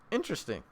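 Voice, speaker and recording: A man hosting his own channel, casually reviewing an anime late at night, rambling and restarting his sentences, with sudden excited bursts. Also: a little hiss or hum. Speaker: anime reaction channel host. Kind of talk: casual solo review talk.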